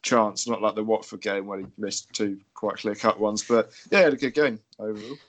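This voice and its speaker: A young man co-hosting a casual podcast, talking in energetic, quick bursts of opinion. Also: on the low side. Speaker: podcast co-host. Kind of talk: casual chat.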